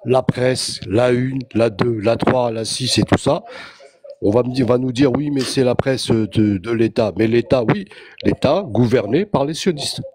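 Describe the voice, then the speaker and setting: A man calls in to a live show and drives his points with emphatic, repetitive declarations. His voice sits at a middling pitch. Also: moderate pace, a little mellow, slightly rough. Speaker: caller. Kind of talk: heated call-in opinion.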